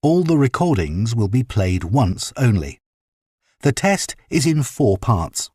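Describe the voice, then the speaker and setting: A man reading scripted test instructions as an exam announcer, formal and deliberate. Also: mid-range voice, sing-song, a little mellow, husky.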